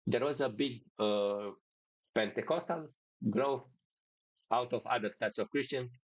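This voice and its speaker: A man's voice: medium pitch, a little slow, no room sound, lo-fi sound. A man from Romania with a Romanian accent, hosting a casual monologue in English with many fillers and restarts. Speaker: podcast host.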